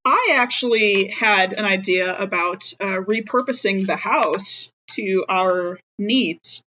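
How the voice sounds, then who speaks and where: A woman with a mid-range voice chats casually as a player in a tabletop game. She thinks aloud in a halting way, and the recording is a little dull and lo-fi. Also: medium pitch, sharp tone, a little hiss or hum.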